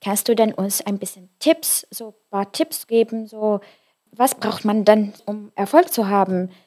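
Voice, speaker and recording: A woman's voice: mid-range voice, measured pace, darkish tone. A woman interviewing a guest, asking friendly, encouraging questions. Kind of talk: casual interview.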